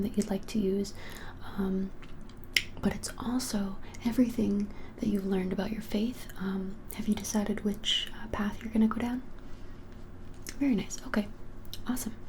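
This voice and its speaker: Young woman whispering softly, mellow. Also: medium pitch, a little hiss or hum. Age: 20s. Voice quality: breathy unvoiced whisper. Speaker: ASMR creator playing a witch shop keeper. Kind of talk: whispered ASMR roleplay. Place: small plain room indoors.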